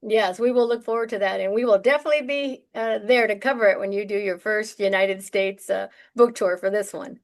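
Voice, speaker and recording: A woman's voice: medium pitch, a little fast, hardly any pauses. A woman hosting an interview, enthusiastic and bubbly as she welcomes and questions her guest. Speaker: interview host.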